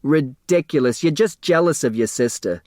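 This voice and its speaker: A man's voice: fairly high pitch, lively intonation, normal speed, darkish tone, dry recording, studio quality, voice pushed up, natural voice. A man voicing a fussy, dramatic, haughty and scornful woman in an audiobook. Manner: haughty, indignant.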